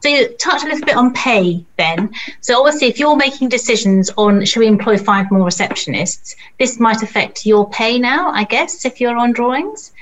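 A woman moderating a panel discussion, asking brisk questions. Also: on the high side, lively intonation, slight background noise, rough recording.

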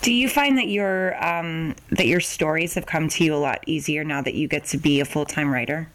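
Lower-pitched woman podcast host. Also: a little fast, poor audio. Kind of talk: casual interview.

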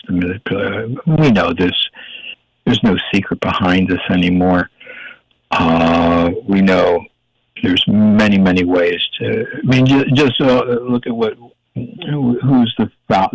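An older man with a gravelly voice, a guest in an interview, talking in a rambling, emphatic way while searching for words. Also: low voice, no room sound. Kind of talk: casual interview talk. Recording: dull, dry audio.

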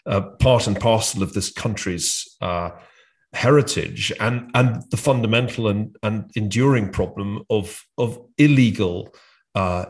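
A British man hosting a discussion, speaking in a measured way with frequent hesitations and restarts. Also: on the low side. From United Kingdom. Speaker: podcast host. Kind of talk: conversational discussion.

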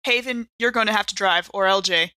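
A woman playing a tabletop roleplaying game, improvising dialogue in a halting, deliberate way. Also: medium pitch, sharp tone, dry recording.

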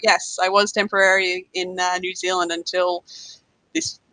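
Bright-voiced Australian woman. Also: on the low side, little pitch movement, moderate pace, no room sound, rough recording. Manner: chatty, laughs lightly between phrases. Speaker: podcast guest. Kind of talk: casual conversational interview. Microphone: remote call.

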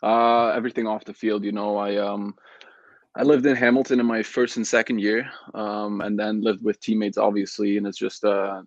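A man's voice: on the low side, level tone, moderate pace, no room sound. A young man who grew up in Belgium, a soccer player answering interview questions casually with many restarts and fillers. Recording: dull lo-fi remote audio.

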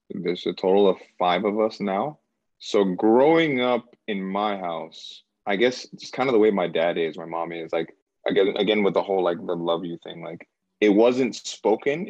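A man from Cameroon in his thirties, a guest in an interview, talking things through slowly and hesitantly, full of "like" and restarts. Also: deep voice, a little mellow, dry recording, muffled recording. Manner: searching, hesitant, repeats himself and says "like" often. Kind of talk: reflective conversational interview.

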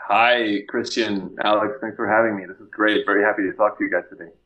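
Guest: young man from Mexico, lowish voice, eager and talkative, stumbles and repeats words. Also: fairly even intonation, fast, muffled recording. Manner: enthusiastic, frequent restarts and repeated words. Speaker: guest composer and guitarist. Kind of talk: casual podcast chat.

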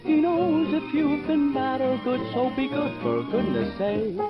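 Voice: high voice, expressive, a little slow, slightly rough, no echo, highs cut off. A woman's voice singing a 1930s dance-band vocal on a vintage record, with an orchestra behind. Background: dance orchestra music.

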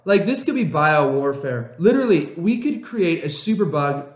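A young man co-hosting a podcast in casual chat, rambling and excitable, laughing often. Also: fairly high pitch, normal speed. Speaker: podcast co-host. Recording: roomy echo.

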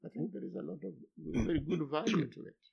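An older man, a philosophy professor whose first language is Luo, speaking haltingly in an interview, then pressing with emphatic rhetorical questions. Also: fairly high pitch, gravelly, slightly rough. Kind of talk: academic interview. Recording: dull lo-fi recording.

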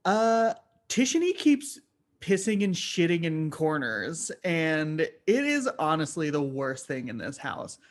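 A mid-pitched, very animated voice someone acting. It is a disgusted reality-show housemate, complaining melodramatically in improvised comedy. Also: slow pace.

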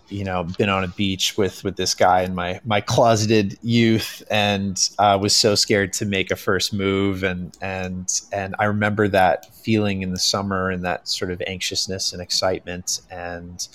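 A man's voice: low-pitched, a bit bright. A man speaking casually and reflectively as a creative guest on a panel, searching for his words.

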